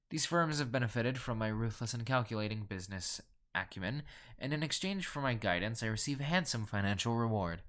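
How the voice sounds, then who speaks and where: Haughty, ruthless gentleman collector, played by a man, lowish voice, formal and theatrical, narrating audio horror. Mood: resolute, self-satisfied. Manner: pompous, formal, cool, theatrical. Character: pompous, ruthless wealthy male collector, haughty and obsessive. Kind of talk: dramatic first-person horror narration.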